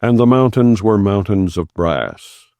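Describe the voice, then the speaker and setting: A man narrating an audiobook in a solemn, non-dramatized scripture reading style, with measured, deliberate phrasing and pauses between clauses. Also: lowish voice, lively intonation, warm tone, no room sound, studio quality.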